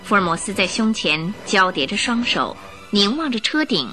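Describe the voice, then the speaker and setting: A woman narrating a radio drama in an expressive, scripted storytelling style. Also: medium pitch, moderate pace, music in the background.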